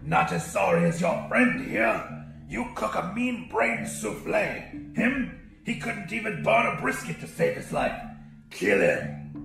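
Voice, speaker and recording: Screechy, gravelly monster crab boss. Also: male voice, sits high, big pitch swings, a little slow, echoey room, music in the background, voice pushed up, heavily acted, rough recording. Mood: menacing. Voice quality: screechy, gravelly imitation of human speech. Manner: ranting, shouting, profane bullying. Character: enraged giant crab boss, cruel sea-monster slave master. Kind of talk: audiobook character voice.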